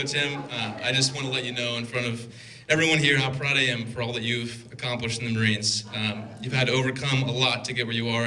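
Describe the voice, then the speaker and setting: A man's voice: mid-range voice, sharp tone, low-quality recording. A man in his twenties giving a wedding toast as best man, reading from notes into a handheld mic with a dry, teasing delivery. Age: 20s. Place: wedding reception hall, indoors. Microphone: handheld microphone. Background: guests laughing.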